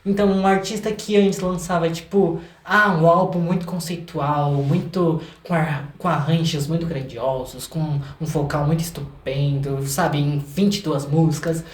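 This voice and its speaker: A young Brazilian man chatting casually as an interview guest, with a playful, expressive manner and words repeated in quick bursts. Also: a little high. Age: young adult. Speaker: podcast guest, singer-songwriter. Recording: echoey room sound.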